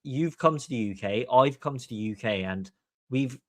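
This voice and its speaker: A man's voice: medium pitch, expressive, moderate pace, no echo, studio quality. A man from Hong Kong hosting a podcast, giving opinionated commentary in an emphatic, long-winded way. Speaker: podcast host.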